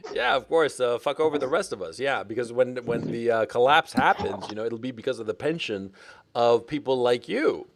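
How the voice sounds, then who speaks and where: A man's voice: mid-range voice, big pitch swings, moderate pace, slight background noise. A man hosting a livestream, needling and mocking his guest in a blunt, profane manner. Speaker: livestream host. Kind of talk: confrontational livestream debate.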